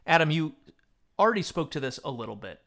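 A man with a fairly high, bright voice and very lively intonation hosts a casual chat. He is articulate and wry, leaning into a mock-pompous delivery. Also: fast talker, gravelly. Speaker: podcast host.

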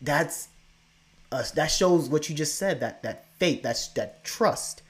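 A man's voice: on the high side, expressive, takes it slowly. A married man talking casually and reflectively, often checking in with "right?" and "you know". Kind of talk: casual conversation.

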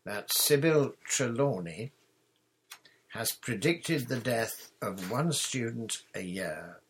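A man's voice: mid-range voice, animated, takes it slowly, a little hiss or hum, voice pushed up, low-quality recording. A man voicing a stern older woman who teaches magic, in a precise, dry, matter-of-fact storybook manner.

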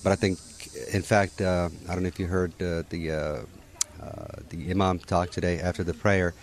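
Deep-voiced easygoing man from Morocco. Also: level tone, moderate pace. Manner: easygoing, sprinkles in "you know" and restarts. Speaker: interviewee at a holiday gathering. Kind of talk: casual conversational answers.

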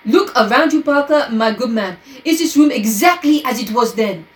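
A woman voicing a fussy, triumphant little male detective with a put-on French accent, deliberate and probing. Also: on the high side, expressive, voice pushed up, lightly acted. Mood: brisk.

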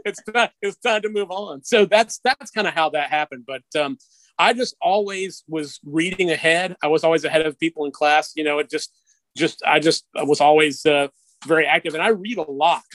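A man's voice: fairly high pitch, normal speed, fairly bright tone, no echo, rough recording. A middle-aged man telling personal stories casually as an interview guest, in a candid, self-deprecating way. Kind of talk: casual conversational storytelling.

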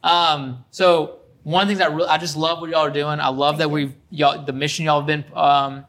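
A man hosting a casual interview, speaking haltingly and repeating words and phrases for emphasis. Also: on the high side, little pitch movement, fairly bright tone. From Iran. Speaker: interview host.

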